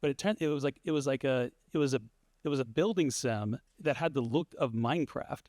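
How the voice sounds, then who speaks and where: A man's voice: mid-range voice, brisk, darkish tone, dry recording, clean studio sound. A man on a conference panel answering an audience question in a loose, conversational way, with many fillers and restarts. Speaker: panelist, studio founder.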